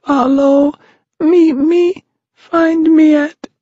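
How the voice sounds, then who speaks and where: A man's voice: very high voice, fairly even intonation, extremely slow, dark tone, no room sound, muffled recording, voice pushed up, heavily acted. A man voicing a tiny, lost twelve-year-old boy trapped as a mummy in a storybook, crying out frantically in a small, mouse-like voice. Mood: desperate.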